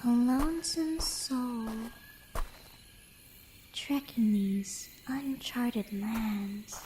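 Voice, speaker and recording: High-pitched, playful catgirl. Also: expressive, mellow, slight background noise, rough recording. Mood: sly teasing. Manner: coy, teasing, with drawn-out breathy whispers. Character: playful, teasing, clingy female Cheshire cat beastkin. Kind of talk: flirty ASMR roleplay acting.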